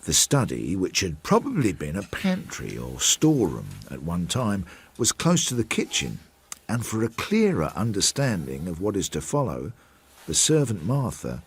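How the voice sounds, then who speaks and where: Confiding detective narrator, radio play. Measured, low register, faint noise behind. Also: male voice, huge pitch swings, gravelly, full character voice. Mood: reflective. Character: reflective male investigator narrating his inquiry, working man.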